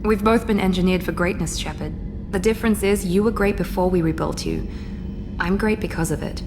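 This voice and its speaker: A woman's voice: fairly low pitch, a little fast, lightly acted. A woman playing a cool, proud engineered officer. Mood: composed, cool. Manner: composed, precise, self-assured. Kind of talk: video game dialogue. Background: game music.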